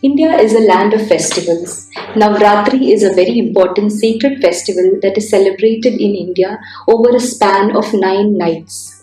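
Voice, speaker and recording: A woman's voice: mid-range voice, moderate pace, mellow, reverberant, faint music behind, rough recording. A woman hosting an interview series, reading a formal scripted introduction before asking her guest a question. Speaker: interview host for a consulate series. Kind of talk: read introduction, then interview.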